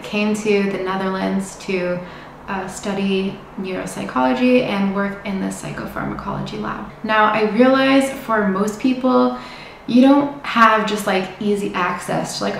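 A young woman from the United States chats to camera in an easy, informative way, with a gravelly fry running through her voice. She is recorded on a camera mic in a bright indoor room that rings with strong echo, and a faint hiss sits underneath. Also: medium pitch. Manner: chatty and informative, with fillers. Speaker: vlogger, psychedelic research student. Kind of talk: casual talk to camera.

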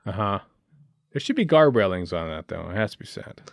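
Low-voiced man in casual, close, dry sound. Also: very animated, brisk, gravelly, no echo. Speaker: podcast host. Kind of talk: casual chat, TV episode commentary.

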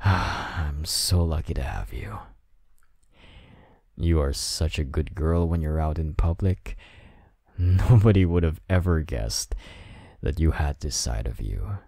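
Deep, gravelly, slow-talking man. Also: no room sound, clean studio sound. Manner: soft, intimate and teasing, with low whispers. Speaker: voice actor in a roleplay audio.

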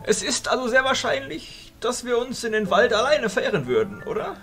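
A man's voice: extremely high pitch, sing-song, a little fast, bright, forceful tone, soft background music, heavily acted. A man acting a pompous, cheerful and quirky archchancellor wizard in a fantasy audio drama. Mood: cheerfully puzzled. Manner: pompous, flowery, delighted.

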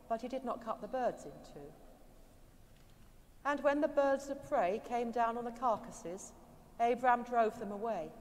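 A woman reads scripture slowly and solemnly at a cathedral service. Her voice sits fairly high, and long cathedral reverb trails after each phrase. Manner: slow, measured, solemn reading. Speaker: scripture reader. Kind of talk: liturgical Bible reading. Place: large stone cathedral.

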